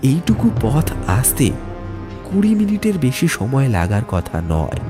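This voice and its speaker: A man narrating a scripted ghost story in a suspenseful, deliberate way with dramatic pauses. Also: medium pitch.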